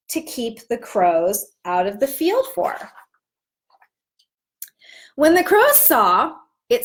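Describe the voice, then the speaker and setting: A woman with a slightly high voice gives an instructional read-aloud lesson. Her intonation swings widely and expressively. She phrases things clearly, like a teacher showing others how to present a storybook. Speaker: teacher trainer.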